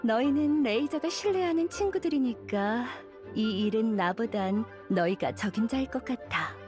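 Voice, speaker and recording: A woman playing an elegant, languid witch librarian in an anime game, coy and unhurried, with a gently caring, teasing manner. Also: on the high side, lively intonation, soft background music, natural voice. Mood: coaxing. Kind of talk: Korean anime game voice acting.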